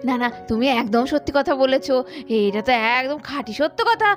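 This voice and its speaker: A woman's voice: high-pitched, expressive, a little fast. A woman voicing a bossy, demanding cartoon wife with an exclamatory, repetitive manner, over music. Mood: admiring. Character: bossy, demanding, money-loving wife, easily won over. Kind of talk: doll cartoon story voice acting. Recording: faint music behind the voice.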